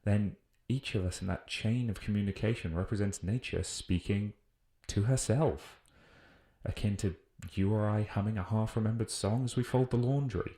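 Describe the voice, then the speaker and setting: A British man playing an earnest naturalist who muses formally and deliberately in a scripted audio drama field report. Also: on the low side, mellow, natural voice. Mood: musing, earnest. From United Kingdom. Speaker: voice actor. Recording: roomy, echoing voice recording.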